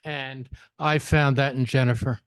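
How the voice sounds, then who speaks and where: Blunt man with an even voice. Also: medium pitch, measured pace, clean tone, no room sound. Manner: blunt, direct, plain-spoken. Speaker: audience member. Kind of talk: audience comment.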